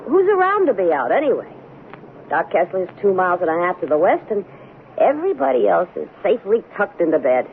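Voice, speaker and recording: An actress playing a scheming, coolly commanding wife in radio drama, with a brisk, insistent, persuasive manner. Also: female voice, fairly low pitch, very animated, gravelly. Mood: urgent, commanding. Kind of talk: 1970s radio drama acting. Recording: tinny, noisy old radio broadcast.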